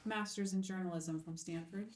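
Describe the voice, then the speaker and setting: A woman plays a curt, commanding female editor in a drama table read. She is dry, sardonic and challenging in a mid-pitched voice, picked up from a distance in an echoing room. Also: fairly even intonation, normal speed, a little mellow, natural voice. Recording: roomy, distant microphone.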